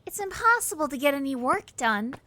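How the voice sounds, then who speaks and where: An actress playing a warm, chatty young palace worker with a romantic streak, eager and sympathetic, in an audio drama. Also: female voice, high-pitched, very animated, brisk, no room sound, full character voice. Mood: concerned.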